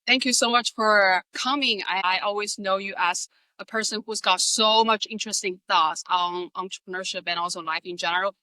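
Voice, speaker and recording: A woman's voice: medium pitch, fairly quick, few pauses, pushed and bright, poor audio. A woman from China hosting an interview podcast, moving between scripted introduction and conversational questions. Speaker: podcast host.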